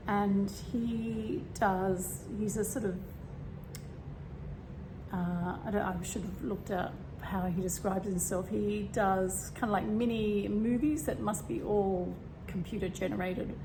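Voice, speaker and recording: A woman with a slightly croaky voice talking casually and meanderingly about spirituality, with many fillers and throat clearing. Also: medium pitch. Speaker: spiritual vlogger. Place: home room with a fan running. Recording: steady fan hum behind. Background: fan noise.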